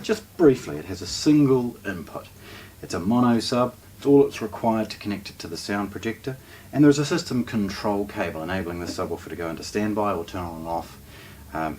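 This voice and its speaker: Low, lively New Zealand man unboxing. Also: a little hiss or hum. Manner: informal, unscripted, step-by-step. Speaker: shop presenter doing product unboxings. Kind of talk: casual unboxing demonstration, explaining as he handles items.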